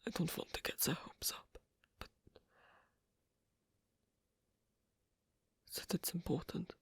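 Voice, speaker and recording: A man playing a gentle, worried royal of a fantasy kingdom in an ASMR story roleplay, speaking in a breathy, husky whisper with halting, formal phrasing. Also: dry recording, natural voice. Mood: resolved, hesitant.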